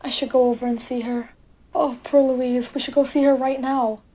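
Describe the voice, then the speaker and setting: A teenage girl playing a gentle, loyal and concerned wife in a radio drama. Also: high voice, little pitch movement, moderate pace. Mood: saddened. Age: teens, high school student.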